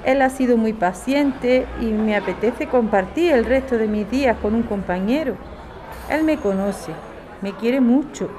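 Over clear crowd chatter comes a woman acting as an older mother from a radio serial, speaking European Spanish. Her voice is on the high side, and she is resolute and affectionate. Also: natural voice. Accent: Spanish from Spain. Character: warm, resolute mother starting life with a companion.

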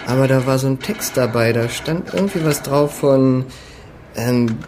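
A man's voice: mid-range voice, normal speed, lightly acted. A man playing a curious, casual computer user chatting in a radio play. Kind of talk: casual radio drama dialogue. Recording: noisy ambience around the voice.

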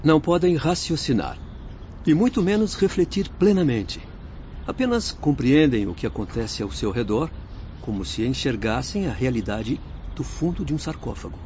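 A man's voice: medium pitch, very animated, moderate pace, a little mellow, natural voice. A man acting in a radio drama as an earnest spirit doctor with a Brazilian accent, moralizing in an emphatic, declamatory way. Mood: grave, pitying. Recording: muffled lo-fi audio with faint noise.

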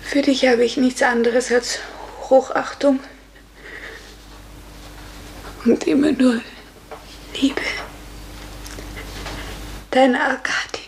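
A woman's voice: sits high, little pitch movement, takes it slowly, creaky, long pauses, exaggerated voice. An actress playing a fragile, fearful serf woman who once danced, earnest and hesitant, in an old radio play. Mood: moved, hushed. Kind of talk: 1950s German radio play acting. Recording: echoey, hissy 1950s radio recording.

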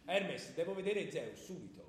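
A high male voice with lively swings acted by a man plays an indignant, prying sea god in comic theatre. He is insistent and incredulous. The sound is very echoey, with faint noise. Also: normal speed, close to an everyday voice. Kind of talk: comic stage dialogue for radio theatre.

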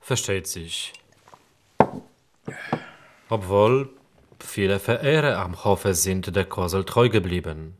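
A man's voice: sits low, takes it slowly, long pauses, slight background noise. An actor playing a scheming, persuasive nobleman in disguise in a radio play. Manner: smooth-talking and persuasive. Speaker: Saklika, a nobleman.

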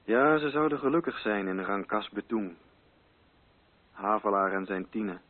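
Husky male radio narrator. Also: lowish voice, unhurried, phone-quality sound. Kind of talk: scripted literary narration. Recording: band-limited radio recording.

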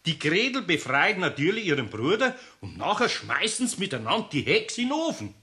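A man's voice: on the high side, very animated, sharp tone. A man with a Bavarian accent playing a kindly, good-natured older master carpenter in a radio play, chuckling heartily. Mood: fond. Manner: chuckling, hearty, drawn-out exclamations. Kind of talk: 1960s German radio play acting.

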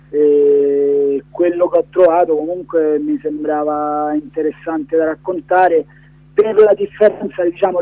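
A man's voice: high-pitched, dry recording. A man being interviewed on the radio, talking in a hesitant, discursive way full of hedges. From Rome area, Italy. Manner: hesitant, discursive, many hedging fillers. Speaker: documentary director, interview guest. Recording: dull lo-fi phone-in sound.

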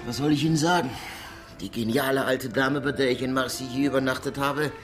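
Dry, dutiful deputy detective, acted by a man, mid-pitched and matter-of-fact, radio crime drama with music underneath. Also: fairly even intonation, fairly quick, natural voice. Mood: dry, wry.

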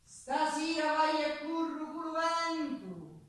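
An actress playing an impassioned, sorrowful and defiant Sicilian folk singer in a theatrical monologue, with a Sicilian accent. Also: female voice, high-pitched, animated, slow. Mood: sorrowful, intense. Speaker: stage actress and singer in a one-woman show. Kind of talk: theatrical monologue with folk singing, live on stage. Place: theatre stage with live guitar accompaniment. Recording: very echoey hall, distant mic, guitar behind.

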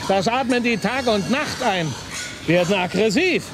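A man's voice: high-pitched, animated, a little slow, background noise. An actor playing a driven, insistent male radio reporter with a sardonic manner, in a naturalistic radio drama. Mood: grim, intense.

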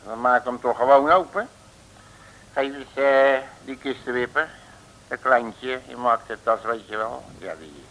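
An actor playing a grumbling, folksy detective who blusters and mutters in a 1960s radio serial. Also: male voice, medium pitch, unhurried, a bit bright, a little hiss or hum, poor audio. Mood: puzzled, muttering. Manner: hesitant, colloquial blustering with muttered asides.

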